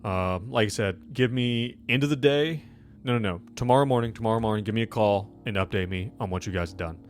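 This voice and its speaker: A man's voice: lowish voice, a little fast, natural voice. A man playing a busy government supervisor on a telephone call, giving clipped, hesitant instructions and repeating himself. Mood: brisk, businesslike.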